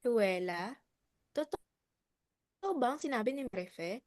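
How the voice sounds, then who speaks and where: A woman acting a quiet, probing club member in a dramatic reading, asking softly. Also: on the high side, lively intonation, takes it slowly, dry recording, clean studio sound. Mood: probing, hushed.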